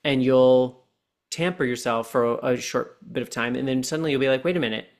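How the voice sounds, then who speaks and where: A man in his forties, a podcast guest and coach, talking earnestly and slowing down to stress his points. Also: mid-range voice. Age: 40s. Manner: deliberate, earnest coaching tone, slows down for key points. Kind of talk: reflective podcast conversation.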